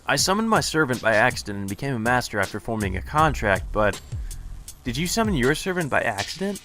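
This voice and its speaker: A man's voice: medium pitch, sing-song, a little fast, bright, forceful tone. A man hosting a let's play, reading game dialogue aloud and adding casual, blunt commentary. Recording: music playing under the voice.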